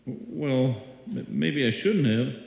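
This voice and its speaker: A man's voice: mid-range voice, expressive, slow, vocal fry, darkish tone, dull audio. A man playing a folksy, apologetic small-town drugstore clerk in a live old-time radio drama, with hesitant, stammering talk. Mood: sheepish, apologetic. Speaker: amateur radio play actor. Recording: echoey hall, live stage performance.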